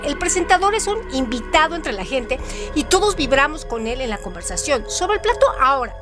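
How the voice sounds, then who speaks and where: High-voiced woman presenting literary trivia. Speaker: co-host of a literary radio show. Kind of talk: scripted narration of literary trivia and analysis.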